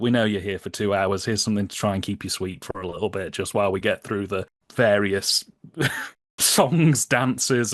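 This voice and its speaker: A man's voice: fairly low pitch, dry recording. British man explaining casually, dry close sound. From United Kingdom. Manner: chatty, humorous, wry, often laughing as he talks. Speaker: podcast guest. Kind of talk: casual podcast discussion, explaining.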